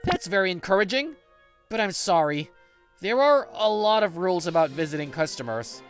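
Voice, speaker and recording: An easygoing male office senior, acted by a man in a manga dub, patiently guiding a junior. His delivery is conversational and animated, swinging widely in pitch. Soft music plays behind him. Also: moderate pace. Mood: polite, exasperated. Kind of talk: English manga dub, narration and dialogue.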